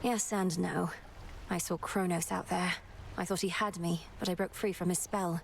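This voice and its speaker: A woman's voice: on the low side, steady tone, normal speed, gravelly, a little hiss or hum. An actress playing a determined young goddess witch in a fantasy video game, speaking in a serious, precise, formal way. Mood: resolute.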